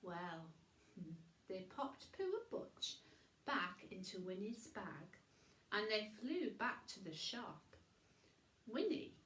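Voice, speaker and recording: Animated, slow-paced woman storyteller. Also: mid-range voice, reverberant, low-quality recording. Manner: chatty asides to a companion. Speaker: bedtime story reader.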